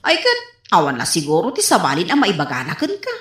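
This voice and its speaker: A woman's voice: lowish voice, huge pitch swings, quick pace, a bit bright, echoey room. A woman playing a practical, soothing wife in a comedy radio drama, coaxing and chiding with an Ilocano accent. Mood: gently chiding.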